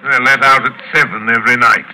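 A suave, sinister aristocratic general, sharp-voiced. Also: male voice, mid-range voice, steady tone, fast, slight background noise, poor audio. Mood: courteous, menacing. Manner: suave, theatrical, gloating, mock-courteous. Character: cultured, sinister aristocratic general who hunts men. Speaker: radio actor. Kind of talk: 1940s radio acting. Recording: old radio drama recording.

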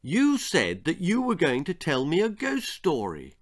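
A man's voice: fairly high pitch, huge pitch swings, unhurried, exaggerated voice. An older man voicing a frightened, impressionable young boy in a children's ghost story, yelping and pleading. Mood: challenging.

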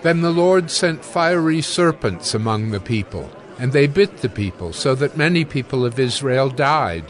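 A man narrating scripture from a script in a measured, solemn storytelling manner. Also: medium pitch, sing-song, a faint crowd. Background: soft music.

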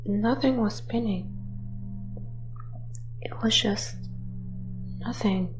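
A woman's voice: medium pitch, slow, lightly acted, poor audio. A woman voicing a shy, gloomy ghost in an audio drama, hesitant and trailing off. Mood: fearful, faltering. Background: music.

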